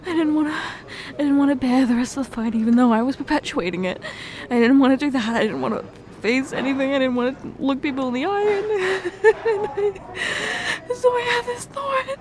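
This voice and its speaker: A woman performing an emotional ASMR roleplay confession, tearful and halting, repeating words and breaking off. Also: extremely high pitch, background noise. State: tearful, crying.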